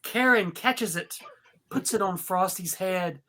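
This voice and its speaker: A woman's voice: medium pitch, slow, sharp tone. A woman reading stage directions as narrator in a live, comedic script read.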